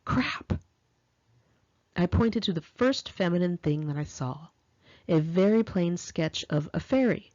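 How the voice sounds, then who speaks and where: An American woman reading her own memoir aloud in an expressive, confiding, conversational storytelling style, with girlish slang. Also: lowish voice, measured pace, no room sound, dull audio. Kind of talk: first-person audiobook narration, conversational and dramatic.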